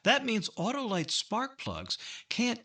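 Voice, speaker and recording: A man plays a peppy vintage radio pitchman with a bright, highly animated voice, selling with emphatic conviction. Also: slow pace, husky, exaggerated voice. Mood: peppy, persuasive. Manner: emphatic, salesmanlike. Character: peppy 1950s male spark plug pitchman. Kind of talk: 1950s radio commercial.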